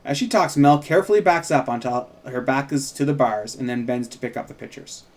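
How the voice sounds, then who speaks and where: A man's voice: medium pitch, fairly quick. A man reading stage directions and chatting casually about his own script as a writer and co-host. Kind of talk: table read with casual commentary.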